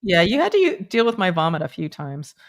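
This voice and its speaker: A woman around sixty hosting a live stream, chatting casually and then guiding a group energy meditation with quick yes-or-no pendulum checks. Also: on the low side, very animated. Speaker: energy work host.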